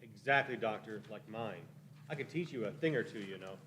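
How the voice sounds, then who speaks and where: A man's voice: on the low side, expressive, fairly quick, a bit bright, a little hiss or hum. A man playing a shifty, cowardly, ingratiating time traveler in a radio play, wheedling and evasive. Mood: sly, ingratiating. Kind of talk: live radio-play acting.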